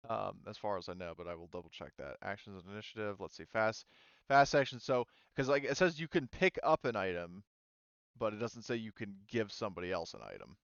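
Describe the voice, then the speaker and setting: A man's voice: fairly low pitch, animated, fairly quick, gravelly, clear, resonant tone, dry recording, dull audio. A man running a tabletop roleplaying game as game master, casual and hesitant, often thinking aloud as he checks the rules.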